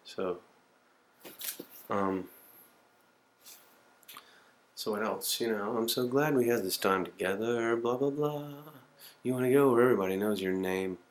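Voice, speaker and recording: A man's voice: lowish voice, measured pace, long pauses, a little hiss or hum. A man in his forties rambling in a profane, self-mocking way to his home camcorder. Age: 40s. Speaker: video diarist. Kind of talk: casual self-recorded monologue.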